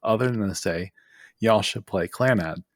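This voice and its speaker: A man with a low-pitched voice talks casually on a podcast panel. He speaks in plain, short statements, and the sound is dry with hardly any room in it. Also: normal speed, no echo. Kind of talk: casual chat.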